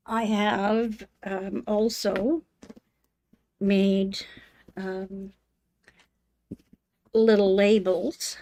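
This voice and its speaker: An older woman hosting a live sewing demonstration, talking in a homey, unhurried way with many ums, her voice slightly hoarse. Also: medium pitch, darkish tone, slight background noise. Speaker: sewing channel host. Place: home sewing room.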